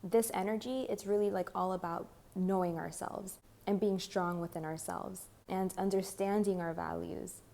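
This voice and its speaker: A young woman, a bit hoarse, giving a rambling spiritual tarot talk full of "like" and "you know". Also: medium pitch, steady tone, moderate pace, hardly any pauses, dark tone. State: slightly hoarse. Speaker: tarot reader. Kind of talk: channeled spiritual monologue.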